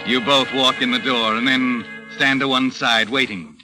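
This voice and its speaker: Man acting as sardonic radio mystery narrator, deliberate, all-knowing, strong music behind, old radio recording. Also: medium pitch, steady tone, lightly acted. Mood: knowing, ominous. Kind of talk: 1940s radio mystery narration in second person.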